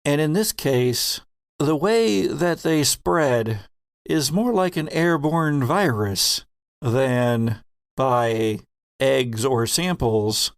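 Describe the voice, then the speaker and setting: Slow, deliberate man as game master. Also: mid-range voice, lively intonation, no echo, clean studio sound. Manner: deliberate, suspenseful pauses. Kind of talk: dramatic, narrating a tabletop game.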